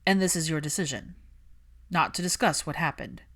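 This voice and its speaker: Audiobook role: posh, icy young man read by a woman, low voice, dry clipped delivery, easily irritated. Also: normal speed, a bit bright. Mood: bored, curt. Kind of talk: audiobook character dialogue.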